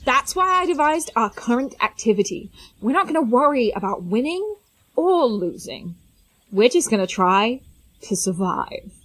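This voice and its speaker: A woman acts a mischievous teenage genius hero for an audio drama. The voice sits high and swings widely in pitch, and the wording is precise and technical. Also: big pitch swings, normal speed, fairly bright tone, poor audio. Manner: precise, wordy, technical phrasing. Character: brainy, mischievous young teen superhero inventor. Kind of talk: scripted audio drama acting.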